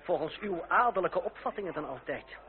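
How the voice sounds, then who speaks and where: A young revolutionary deputy and swordsman in an old radio drama. He speaks fairly high, with icy, ironic courtesy. The sound is tinny and narrow, with a crowd murmuring behind him. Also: expressive, a little fast. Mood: mocking. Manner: formal, coolly mocking, ironic politeness. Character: sardonic young revolutionary deputy and deadly fencing master. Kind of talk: period radio play acting.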